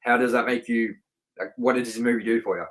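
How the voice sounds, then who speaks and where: A man's voice: mid-range voice, fairly even intonation, fast, a bit bright. Casual man reviewing films and games. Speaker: co-host of a film review show. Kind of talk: casual film and game review chat.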